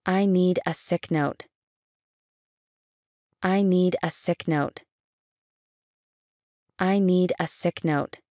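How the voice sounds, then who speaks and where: A woman reading short English phrases for a language lesson, saying each one three times, slowly and clearly. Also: on the low side, fairly even intonation, mellow, no room sound, clean studio sound. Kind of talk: slow repeated phrase reading.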